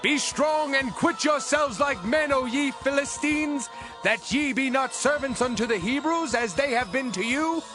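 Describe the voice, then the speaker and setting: A man's voice: very high voice, unhurried. An actor playing a bold, defiant ancient war leader rallying his men in a dramatized Bible reading. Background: music.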